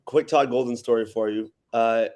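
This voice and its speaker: A man's voice: medium pitch, steady tone, moderate pace, a little mellow. A man guesting on a sports podcast, chatting casually and emphatically, repeating himself to press a point. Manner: emphatic, repeats questions for effect. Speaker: podcast guest, arena PA announcer.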